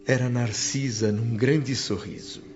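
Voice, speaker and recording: Long reverb and soft music surround an actor as an earnest Brazilian male spirit telling his own story. He narrates the radio drama solemnly and deliberately in literary phrasing, on a slightly muffled recording. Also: medium pitch, steady tone, natural voice. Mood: earnest, reflective. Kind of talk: scripted first-person radio drama narration. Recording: dull lo-fi audio, long hall reverb. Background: background music.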